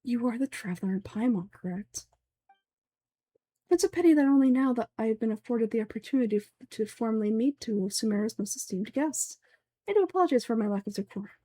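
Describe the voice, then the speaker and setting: A woman's voice: fairly high pitch, lively intonation, fast, dark tone, no room sound. A woman voicing a pompous, fanatical older male sage from a game, speaking formally and in declamatory fashion. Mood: coldly courteous. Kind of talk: game dialogue dub.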